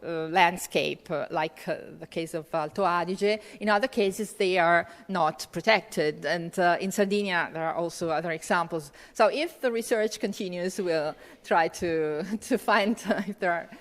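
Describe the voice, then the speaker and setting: A woman's voice: lowish voice, moderate pace, hardly any pauses. A woman giving a keynote lecture and answering questions, with many fillers and restarts. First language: Italian. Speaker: keynote speaker. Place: conference hall. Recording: long reverb of a lecture hall.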